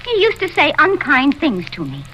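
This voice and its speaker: An actress in an old radio drama playing an excitable, defensive housemaid, deferential and easily flustered. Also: female voice, high-pitched, normal speed, exaggerated voice, poor audio. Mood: indignant. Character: excitable, defensive housemaid, servile to her mistress. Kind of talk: 1940s-50s radio drama acting. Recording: vintage radio recording with hiss.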